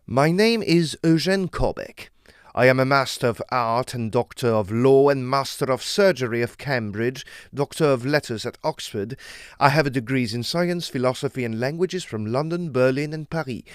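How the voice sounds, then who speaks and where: An actor playing a learned, secretive explorer of Egypt in an audio drama, formal and emphatic in manner. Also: male voice, medium pitch, moderate pace, smooth, dry recording, clean studio sound, lightly acted. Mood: courteous, proud.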